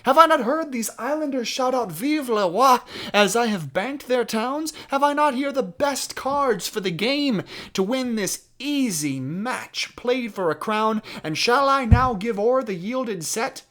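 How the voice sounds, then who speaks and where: A man's voice: medium pitch, lively intonation, measured pace. A man playing a proud, defiant young French prince, declaiming imperiously and indignantly in a classical Shakespearean stage monologue. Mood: furious, indignant.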